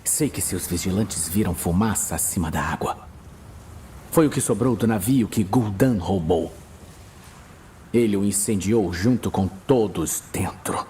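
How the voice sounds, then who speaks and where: A man playing a weary, earnest male archmage, speaking in a hushed, measured, urgent way in a fantasy audio drama. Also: deep voice, a little hiss or hum. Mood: grim. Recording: music bed behind voice.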